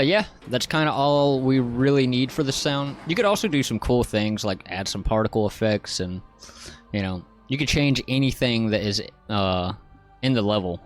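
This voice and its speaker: A man narrating a software tutorial in a casual, informal, step-by-step way. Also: lowish voice. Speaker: tutorial host.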